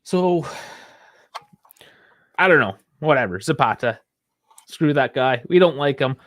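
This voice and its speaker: A man's voice: medium pitch, animated, measured pace, fairly bright tone, no echo. A man in the middle of his range chats loosely as a podcast co-host, rambling between points and laughing often. His voice sits dry and close, with no room sound around it. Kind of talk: casual sports chat.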